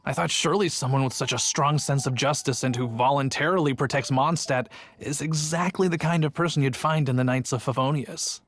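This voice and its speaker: An actor playing a chatty, sheepish city guard in a video game who tells a long story and gets defensive. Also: male voice, medium pitch, a little fast, hardly any pauses, a bit bright, a little hiss or hum, close to an everyday voice.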